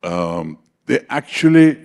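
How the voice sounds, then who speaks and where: Older Indian man, deep gravelly storyteller. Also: sing-song, takes it slowly, darkish tone. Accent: Indian English. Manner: anecdotal, switches into Hindi for quoted dialogue. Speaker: conference speaker. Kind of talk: personal storytelling.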